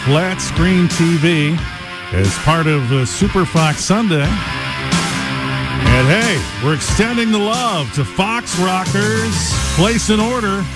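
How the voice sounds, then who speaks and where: A man's voice: high-pitched, lively intonation, takes it slowly, over loud music. An American man hosting a rock radio show, talking in casual, conversational DJ patter with playful asides. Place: radio studio. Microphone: close studio broadcast mic.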